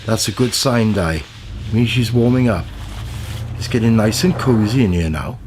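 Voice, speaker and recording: An actor playing a blunt, chatty, grumbling road worker in a radio play, with colloquial speech. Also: male voice, fairly low pitch, expressive, moderate pace, a little mellow, slight background noise, close to an everyday voice. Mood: friendly, chatty. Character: matter-of-fact, grumbling working man gritting roads.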